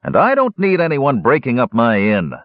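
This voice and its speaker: A man voicing a gray-haired old male dwarf innkeeper who talks gruff and blunt, pitched well above his own voice. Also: wildly swooping pitch, normal speed, no echo. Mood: stern. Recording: dull lo-fi dry recording.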